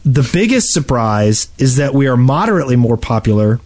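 Casual male podcast co-host, mid-pitched. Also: sing-song, fairly quick, a little mellow.

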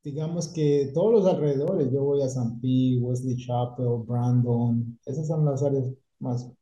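A middle-aged man from Colombia, a painting company owner, telling his story in a relaxed casual chat and dropping English words into his Spanish. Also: mid-range voice, unhurried. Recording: echoey room, dull lo-fi sound.

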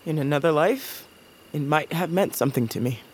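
A woman's voice: sits low, fairly quick, husky. A woman playing a hardened, guarded fugitive in a fantasy audio drama, terse and controlled. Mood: cold, resolute.

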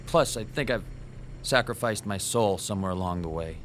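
An actor playing a timid, bullied comic shop owner, hesitant and fretful, in a radio drama. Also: male voice, on the low side, normal speed, fairly bright tone, slight background noise, close to an everyday voice. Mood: weary, confessional.

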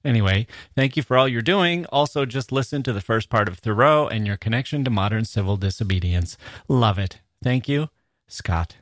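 A man's voice: mid-range voice, animated, normal speed, dry recording, studio quality. A man hosting a podcast, reading copy and mail in a casual, playfully mock-serious way. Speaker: podcast host. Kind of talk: casual, scripted ad reads and listener email reading.

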